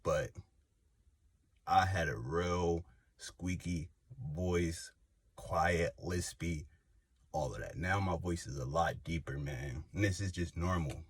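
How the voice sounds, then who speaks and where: Low husky-voiced man with a lisp. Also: takes it slowly. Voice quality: slight lisp. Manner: rambling, many fillers and restarts. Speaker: vlogger. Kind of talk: casual personal testimony.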